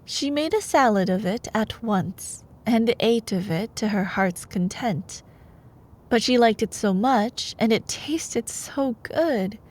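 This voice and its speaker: A woman in an ASMR bedtime storytelling style, expressive and playful, like a girlfriend reading aloud. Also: medium pitch.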